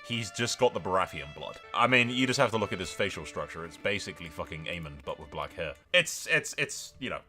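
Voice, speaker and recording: A man hosts casual game commentary in a lively, swinging voice with a bright, forceful edge. He is informal and wry, swearing freely as he jokes along. Faint music plays underneath. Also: deep voice, brisk, hardly any pauses. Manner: informal, sweary, wryly joking. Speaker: video game streamer, host.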